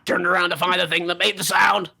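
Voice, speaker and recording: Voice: mid-range voice, level tone, fast, gravelly, sharp tone. A co-reader riffing casually on a story with wry, joking remarks. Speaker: co-reader and commentator.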